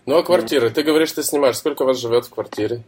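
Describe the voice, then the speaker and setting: Husky-voiced man asking questions. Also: medium pitch, rapid-fire, a little mellow. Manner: curious, questioning, loose phrasing. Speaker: interview host. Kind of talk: casual interview chat.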